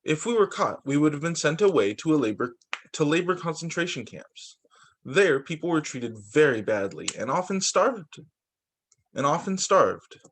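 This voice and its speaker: A man's voice: fairly high pitch, big pitch swings, normal speed, a bit bright, a little hiss or hum. A man reading a children's book aloud as a teacher, occasionally stumbling, repeating phrases and adding casual asides. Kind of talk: children's story time read-aloud.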